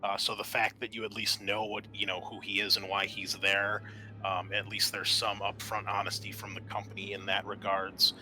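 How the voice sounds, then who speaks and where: A man's voice: on the low side, completely flat delivery, moderate pace, bright, forceful tone, slight background noise, rough recording. A man working as game master in a tabletop roleplaying game, explaining things in a conversational way. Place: online voice call.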